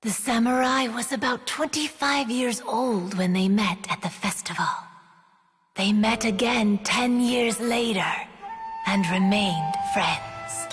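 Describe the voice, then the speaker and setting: Grave yokai storyteller, slightly high, very unhurried, soft music under. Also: female voice. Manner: slow, solemn, melancholy telling. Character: sorrowful, wise female yokai spirit. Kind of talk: game cutscene storytelling.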